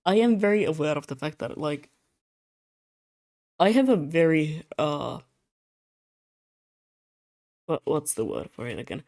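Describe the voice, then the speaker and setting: A young adult streamer chats loosely on a streaming mic while drawing commissions. The voice sits at a middle pitch and sounds tired, with words slurring a little. The talk rambles and turns self-mocking, broken by frequent laughs. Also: lively intonation, unhurried, vocal fry, pauses often, darkish tone. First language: Russian. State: tired, slightly slurred speech. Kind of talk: casual live-stream chat.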